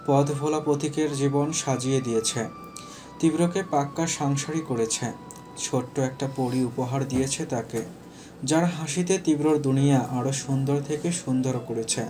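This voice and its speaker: A man's voice: mid-range voice, normal speed, noisy background. A man narrating a scripted romantic audio story.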